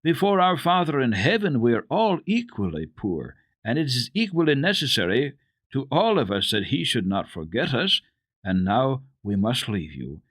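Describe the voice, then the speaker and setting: Male reader as genteel older lady, storybook grandmother, raised pitch, kindly, emphatic, reassuring, animated. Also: moderate pace, husky, dry recording. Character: kindly, reassuring, devout well-to-do grandmother, warm-hearted lady.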